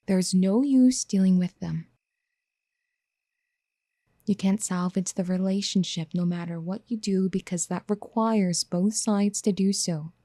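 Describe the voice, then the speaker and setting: A woman playing a possessive, motherly yandere in a soft-spoken ASMR roleplay, gentle and coaxing, often slipping toward a breathy whisper. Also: medium pitch, no room sound, studio quality. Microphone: close studio mic.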